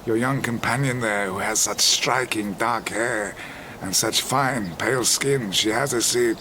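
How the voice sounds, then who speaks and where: Slow, sly wandering peddler. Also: male voice, lowish voice, bright, forceful tone, a little hiss or hum. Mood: sly, obsequious. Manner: florid, deferential salesman's patter. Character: wandering peddler of charms, sly, ingratiating showman. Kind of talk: theatrical audio drama acting.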